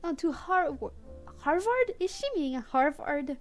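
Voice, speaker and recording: Woman, high voice, slow pace, playful mock-dramatic story reading, streamer on a headset. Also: lively intonation, faint music behind. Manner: playful, mock-dramatic, breaks off for amused asides. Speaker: streamer and host. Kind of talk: livestream fan fiction read-aloud with joking asides.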